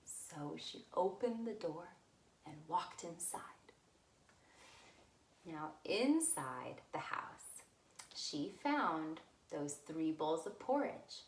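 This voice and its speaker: A woman telling a story to young children as a librarian, with simple, deliberate phrasing. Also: low voice, darkish tone. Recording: roomy, echoey room sound.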